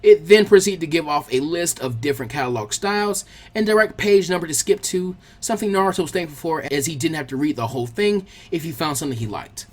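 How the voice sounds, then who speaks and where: A man's voice: fairly high pitch, quick pace, hardly any pauses. A man reading a scripted story aloud as its narrator.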